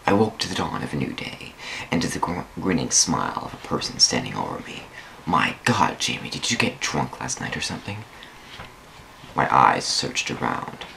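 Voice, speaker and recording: A man's voice: a bass voice, vocal fry, fairly bright tone, a little hiss or hum, low-quality recording. A man giving a theatrical dramatic reading of a first-person story, drawing out words for emphasis. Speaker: amateur dramatic reader of online fan fiction.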